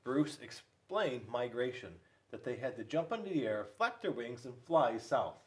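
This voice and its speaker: A man reading a children's storybook aloud, with dramatic pauses and emphasis. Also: mid-range voice, animated, recorded from a distance. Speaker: school resource police officer reading to children.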